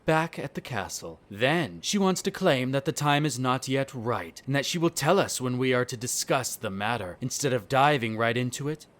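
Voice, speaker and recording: A man's voice: medium pitch, close to an everyday voice. A man playing a smug, disdainful vampire noble in a scripted roleplay audio drama, speaking formally with sardonic condescension.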